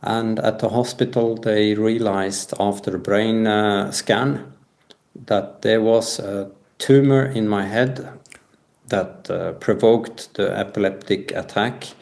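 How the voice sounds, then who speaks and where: Slow-talking man, halting and low. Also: fairly even intonation, gravelly, darkish tone. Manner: halting, hesitant phrasing. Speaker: podcast guest. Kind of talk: personal account in an interview.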